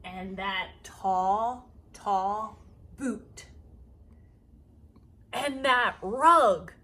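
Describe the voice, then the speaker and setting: A woman reading a children's picture book aloud expressively, with dramatic, deliberate phrasing and emphasised words. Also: medium pitch, bright, forceful tone. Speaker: read-aloud storyteller.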